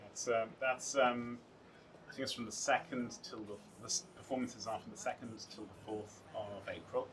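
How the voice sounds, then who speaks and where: A man, an actor, speaking earnestly and haltingly in a casual interview. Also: mid-range voice, fairly even intonation, noisy background. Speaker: actor in a stage play being interviewed. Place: outdoors on a sea front. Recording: distant mic outdoors, music behind.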